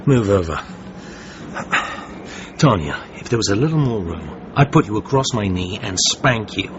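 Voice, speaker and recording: An actor playing a suave English secret agent in a radio drama, cool and guarded with a gravelly voice. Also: male voice, fairly low pitch, animated, moderate pace, lightly acted. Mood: wry, relieved. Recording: dull lo-fi recording, background noise.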